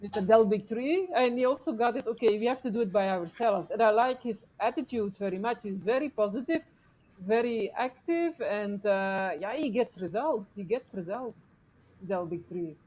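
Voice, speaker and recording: A woman's voice: medium pitch, moderate pace, warm tone, lo-fi sound. A woman from Belgium chatting casually on a livestream, hesitant and searching for words, picked up by a computer mic. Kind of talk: casual group livestream chat. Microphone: computer mic some distance away. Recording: faint noise, computer mic at distance.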